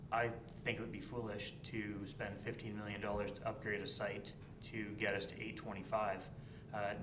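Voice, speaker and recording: Flat-voiced, low man councillor. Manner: measured, reasoning step by step. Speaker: town councillor. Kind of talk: council meeting debate. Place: echoey council chamber. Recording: echoey room with background noise.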